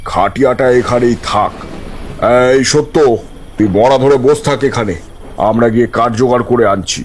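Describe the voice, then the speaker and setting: A man's voice: mid-range voice, moderate pace, a little hiss or hum, close to an everyday voice. A man voicing a stern village man who gives commanding warnings in a horror cartoon. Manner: commanding, cautionary. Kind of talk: Bengali horror cartoon acting.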